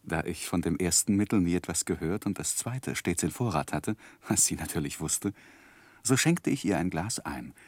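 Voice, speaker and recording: A man acting an earnest, lovestruck young gentleman who recalls his youth in formal, gently self-mocking in a 1960s radio drama. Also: low-pitched, animated, moderate pace, no room sound. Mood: wistful, wry. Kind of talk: literary first-person narration, 1960s German radio drama.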